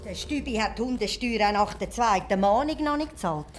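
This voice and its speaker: An actress in a radio play playing a disapproving, matter-of-fact village official. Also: female voice, medium pitch, animated, fairly quick, noisy background, natural voice. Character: dry, disapproving female village council official.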